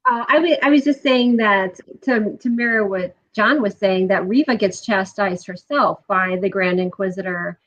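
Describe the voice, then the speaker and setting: Female guest expert, medium register, casual analytical talk, slightly muffled low-fidelity audio. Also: moderate pace, hardly any pauses, fairly bright tone.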